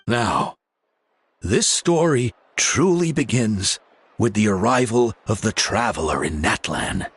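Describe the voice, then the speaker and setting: A man playing a genial, theatrical storyteller in a video game, speaking in a measured, dramatic way with deliberate pauses. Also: on the low side, animated, slightly rough, full character voice. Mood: dramatic, reverent. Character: genial male storyteller, theatrical, fond of children.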